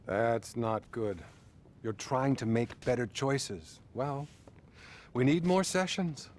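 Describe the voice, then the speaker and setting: An actor playing a patronizing male therapist, measured and placating, in a naturalistic video game style. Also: mid-range voice, slight background noise, close to an everyday voice.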